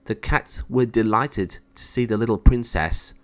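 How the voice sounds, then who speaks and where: A man's voice: on the low side, unhurried, fairly bright tone, smooth. A man reading scripted narration from a children's story aloud. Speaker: storybook reader.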